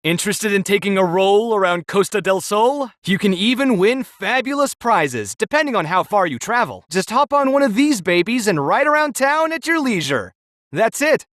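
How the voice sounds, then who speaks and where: A woman's voice: mid-range voice, very animated, moderate pace, dry recording, studio quality, close to an everyday voice. A woman playing an upbeat, breezy beach resort promoter in a video game, with peppy sales patter. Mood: breezy selling. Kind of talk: video game character acting.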